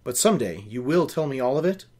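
A man's voice: mid-range voice, sing-song, fast talker, mellow. A man voicing a curious, good-natured young man in a novel, earnest and inquisitive. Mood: friendly.

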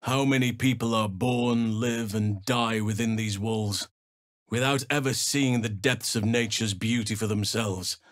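A man acts out a wise male elf druid for a fantasy game. His low voice is measured and solemn, with a gentle, thoughtful manner. The recording is dry and close. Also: steady tone, dry recording, natural voice. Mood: measured, reflective. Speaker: video game character. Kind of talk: dramatic game dialogue.

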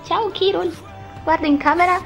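A young woman chatting casually and haltingly into a phone camera. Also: extremely high pitch, steady tone, vocal fry, faint music behind. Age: young adult. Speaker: amateur voice actor.

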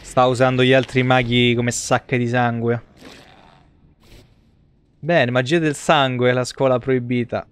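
A man's voice: medium pitch, little pitch movement, a little slow, long pauses, clear, resonant tone. A man in his thirties giving casual, sarcastic, foul-mouthed commentary as a video game streamer. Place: small room at home.

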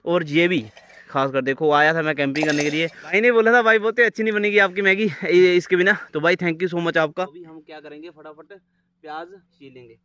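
A man's voice: on the high side, steady tone, brisk, sharp tone. A young man from Himachal Pradesh, chatting casually to his viewers while camping in a grassy field. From Himachal Pradesh, India. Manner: chatty, addresses viewers as friends. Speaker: vlogger. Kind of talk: casual vlog talk to viewers. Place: outdoors in a grassy wooded field. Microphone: camera held at arm's length.

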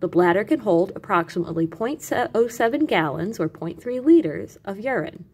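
A woman reading educational material aloud as a teacher, with animated emphasis and carefully separated lists. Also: mid-range voice, normal speed, slightly rough. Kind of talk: expressive educational read-aloud.

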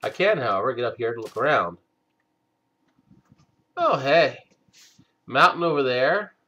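A man hosting a game let's play, stuffed up from a cold, talking slowly with many hesitant fillers. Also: mid-range voice, sing-song, bright, forceful tone, highs cut off. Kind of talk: casual gameplay commentary.

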